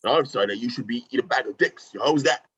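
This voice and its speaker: A man playing a gruff, sarcastic mercenary team leader in an amateur action-comedy table read, with a husky voice. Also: mid-range voice, quick pace, a bit bright, lo-fi sound. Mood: irritated, sarcastic. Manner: sarcastic, curt.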